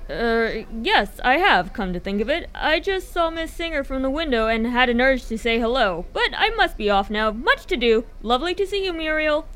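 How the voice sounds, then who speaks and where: A woman playing an excitable, bumbling young upper-class gentleman in a radio drama, full of flustered old-fashioned slang. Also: sits high, moderate pace, hardly any pauses.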